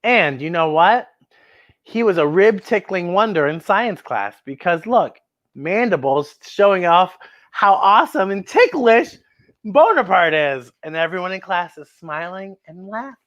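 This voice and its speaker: Female children's storytime host: very lively pitch, expressive read-aloud, exclaims and addresses young listeners directly. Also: a little slow, muffled recording.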